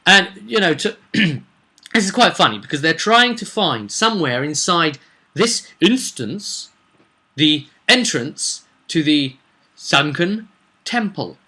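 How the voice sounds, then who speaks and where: A man with a bright, forceful voice that sits a little high tells the story of his tabletop game as its game master. He is chatty and informal, rambling along like a vlogger and often tossing rhetorical questions to the listener. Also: very animated, slow pace, husky. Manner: chatty, slips into acted quotes of characters. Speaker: game master recounting a tabletop roleplay session. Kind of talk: casual rambling vlog storytelling.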